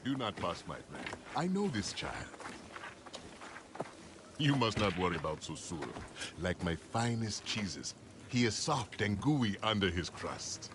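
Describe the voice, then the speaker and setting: Jovial old alien friend, low voice, lively swings, placating, game cutscene, noisy ambience behind. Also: male voice, a little slow. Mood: reassuring, amused. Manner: genial, placating, playful. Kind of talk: video game cutscene acting.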